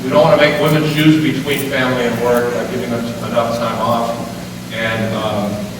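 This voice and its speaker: A man gives an informal talk to a gathered audience, thinking aloud with fillers and turning questions back to the room. He sounds far from the microphone in a large echoing hall with a long reverb tail. Steady background noise sits under his voice. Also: mid-range voice, moderate pace. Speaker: panel speaker. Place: event hall.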